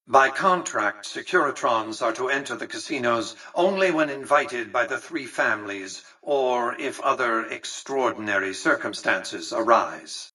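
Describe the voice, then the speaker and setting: An imperious, calculating casino magnate for a video game. His mid-pitched voice is husky yet sharp, with measured, articulate phrasing. He sounds pompous and condescending, every clause carefully weighed. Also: far from the mic, low-quality recording. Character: imperious, calculating, aristocratic male casino tycoon and mastermind. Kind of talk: theatrical video game character dialogue.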